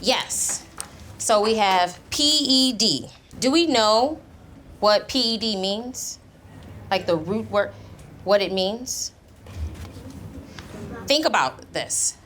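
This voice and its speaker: A woman's voice: medium pitch, expressive, slow pace, pauses often, a bit bright, slight background noise. A woman who teaches young children, speaking instructively as she explains lessons, reads and guides pupils. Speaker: elementary school teacher. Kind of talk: explaining a lesson, reading aloud, questioning pupils.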